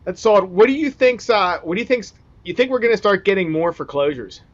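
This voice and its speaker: A man interviewing in a casual, informal and somewhat halting way. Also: high-pitched, animated. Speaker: interviewer, digital marketer. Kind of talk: casual chat. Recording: dull, lo-fi sound.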